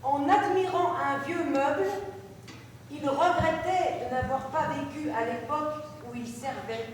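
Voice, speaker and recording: High-voiced woman reading in a distant hall. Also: a little hiss or hum. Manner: deliberate, precise reading. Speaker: stage performer. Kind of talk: staged reading of grammar examples. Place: large hall, live performance. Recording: echoey hall from far off.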